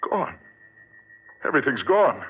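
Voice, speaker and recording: A man's voice: medium pitch, sing-song, unhurried, a little hiss or hum. An American actor playing a desperate man accused of murder, in emphatic 1940s radio melodrama style. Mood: shocked, denying. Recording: muffled old radio recording.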